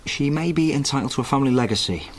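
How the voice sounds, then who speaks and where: An actor playing an earnest, persistent family-history researcher in a radio drama, with a persuasive manner. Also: male voice, mid-range voice, animated, rapid-fire, noisy background, lightly acted. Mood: businesslike.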